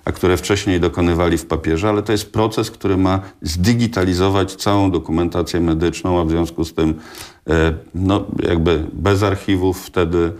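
A man in his sixties, a hospital director speaking as a guest in a studio interview, measured and hesitant with many filler sounds. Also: low-pitched, steady tone, a little mellow. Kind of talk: radio studio interview answers. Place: small TV studio.

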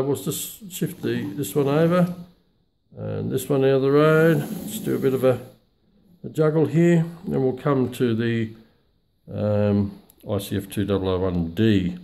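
A middle-aged man giving a casual, unscripted product demonstration in a halting, hesitant way with many pauses. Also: medium pitch, creaky, a little mellow. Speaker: radio hobbyist reviewer. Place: small room at home, indoors. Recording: noisy home recording with radio static. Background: shortwave radio static and broadcast.

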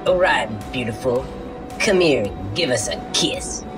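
A lecherous sci-fi audio drama lout, acted by a man, leers cheekily in British slang. His pitch swoops about and he takes his time, with music behind him. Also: expressive, a little slow, natural voice. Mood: leering, lustful. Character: lecherous, cheeky male lout in a spaceport brothel.

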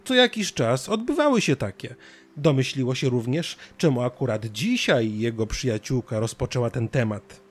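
Male narrator for a children's tale, mid-pitched with animated intonation. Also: moderate pace, breathy edge. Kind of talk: scripted children's story narration.

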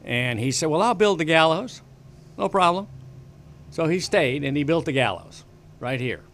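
A man's voice: on the high side, big pitch swings, normal speed, fairly bright tone. An older man from the western United States, a studio guest telling long stories in a casual, rambling way with many restarts, speaking into a desk mic. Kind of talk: casual interview storytelling. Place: small studio with bookshelves. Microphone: desk broadcast mic on boom arm.

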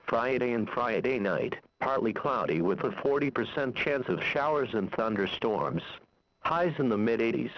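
A synthetic man's voice delivers a scripted weather bulletin in a formal, impersonal way. The pitch is medium. The sound is dry and slightly muffled, like a lo-fi radio broadcast. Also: no echo. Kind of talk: scripted weather forecast read-out.

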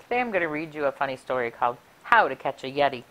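A middle-aged woman reading a rhyming picture book aloud for children in an expressive, deliberate, sing-song way. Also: low voice, low-quality recording. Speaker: library story time reader. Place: small furnished room indoors. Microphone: clip-on lapel mic.

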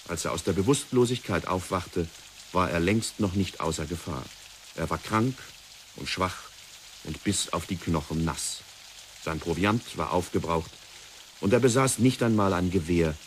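A man narrating a 1960s radio drama in a measured, dramatic storytelling manner. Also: deep voice, expressive, slight background noise.

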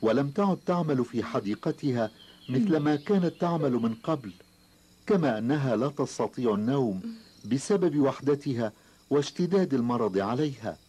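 A man's voice: medium pitch, lively intonation, moderate pace, mellow, husky. A man narrating a children's cartoon tale in formal Arabic as a solemn storyteller. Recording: noisy, hissy old cartoon soundtrack.

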